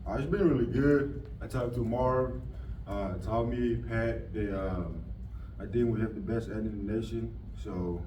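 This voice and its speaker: A young man from Tonga, a college football player, giving relaxed, plain answers with fillers at a press conference. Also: lowish voice, measured pace. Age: young adult. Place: press room. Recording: echoey room, distant mic, muffled, noisy.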